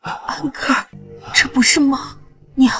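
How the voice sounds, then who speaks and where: A woman's voice: high voice, little pitch movement, a little slow, creaky, fairly bright tone, faint music behind, exaggerated voice. An actress playing a righteous young warrior woman with motherly authority in a period drama. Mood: sorrowful, tender. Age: young adult. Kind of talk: Chinese period drama acting.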